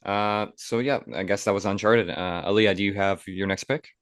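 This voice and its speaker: A man's voice: lowish voice, fairly even intonation, moderate pace, no echo, clean studio sound. A man hosting a podcast, chatting casually about movies in an informal, opinionated way. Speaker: podcast host.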